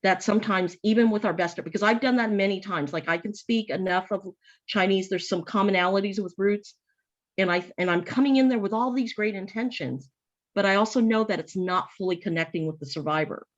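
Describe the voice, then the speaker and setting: A woman's voice: medium pitch, a little fast. Earnest woman with muffled lo-fi sound. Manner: earnest, thoughtful, with frequent restarts. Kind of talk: panel discussion.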